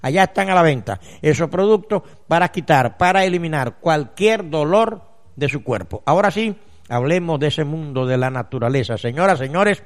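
Higher-voiced radio advice man. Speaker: radio host and natural healer. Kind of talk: radio advice show, reading listeners' letters and answering them.

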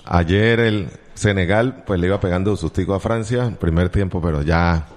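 Deep-voiced chatty Colombian radio host. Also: male voice, normal speed, crowd noise. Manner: joking, chatty, teasing asides. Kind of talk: casual morning radio chat. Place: radio studio.

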